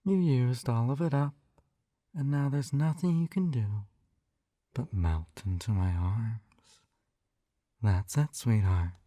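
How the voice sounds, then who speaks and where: A man's voice: medium pitch, takes it slowly, long pauses, warm tone, dry recording, studio quality, close to an everyday voice. A man playing a possessive, doting hypnotist captor in an intimate ASMR roleplay, coaxing and soothing, often slipping into a whisper. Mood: soothing, hypnotic. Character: possessive, doting male yandere hypnotist captor, gently controlling.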